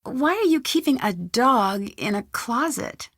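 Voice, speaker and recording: A woman's voice: a little high, animated, measured pace, fairly bright tone, no room sound, studio quality, exaggerated voice. An actress playing a friendly, inquisitive young woman detective in a mystery video game, politely asking questions. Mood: probing. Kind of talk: scripted video game dialogue.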